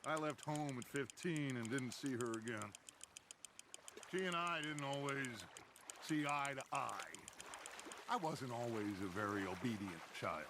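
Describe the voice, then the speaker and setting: An actor playing a charismatic gang leader in a game cutscene, talking in a measured, reflective way. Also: male voice, medium pitch, animated, background noise, natural voice. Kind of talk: video game cutscene acting. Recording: outdoor game ambience over voice.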